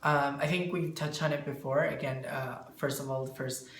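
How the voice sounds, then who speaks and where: Male interviewee, bookkeeper explaining business matters, halting delivery that searches for words, echoey room with faint noise. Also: medium pitch, fairly even intonation, fairly bright tone. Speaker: bookkeeper answering questions.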